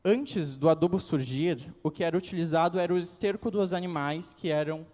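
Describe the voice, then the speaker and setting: A man's voice: fairly high pitch, fairly quick, darkish tone, big-room sound. An eighteen-year-old man with a gaúcho Brazilian accent gives a formal, declamatory oral presentation as a pageant contestant. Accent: Gaúcho (Rio Grande do Sul) Brazilian Portuguese. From Rio Grande do Sul, Brazil.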